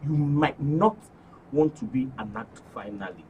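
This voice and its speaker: A man's voice: medium pitch, normal speed. A man with a Nigerian accent gives unscripted interview answers, explaining earnestly in long, winding sentences. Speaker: studio guest, actors' guild official. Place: radio and TV studio. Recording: distant microphone with clear background noise.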